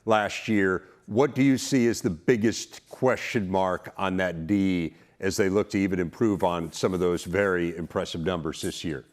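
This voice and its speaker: A man's voice: low-pitched, steady tone, moderate pace, hardly any pauses. A man hosting a sports show, putting analytical questions to a guest in an interview.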